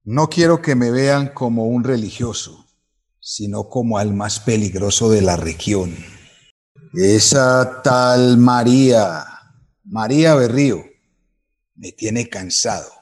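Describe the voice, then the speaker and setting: An actor with a Colombian accent playing a vain, domineering politician in a radio drama, speaking with deliberate threat. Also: male voice, medium pitch, darkish tone. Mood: cold menace. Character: menacing, vain, domineering male politician, contemptuous of women.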